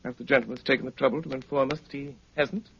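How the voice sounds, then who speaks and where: An actor playing a cool, sardonic, commanding gentleman detective in a 1930s radio drama, speaking with clipped, dryly polite authority. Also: mid-range voice, steady tone, racing, vocal fry. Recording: muffled, noisy 1930s radio recording.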